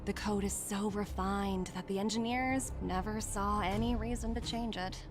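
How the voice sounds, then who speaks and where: An actress playing a confident young female corporate emissary in a game, smooth-talking, poised and persuasive. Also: medium pitch, fairly even intonation, moderate pace, close to an everyday voice. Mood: assured, persuasive. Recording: light music behind the voice.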